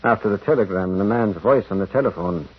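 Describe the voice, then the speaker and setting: An actor playing a gentle, shrewd priest detective, hesitant and deliberate, quietly moralizing in 1940s American radio drama style. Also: male voice, fairly low pitch, husky. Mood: quietly knowing. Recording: muffled vintage radio broadcast.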